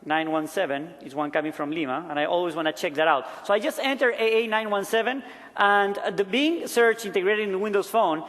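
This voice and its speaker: Male product demonstrator, Peruvian accent, fairly high voice, presenting live on stage in a big reverberant hall. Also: fairly quick, a bit bright. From Peru. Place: large conference hall stage. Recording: echoey large conference hall.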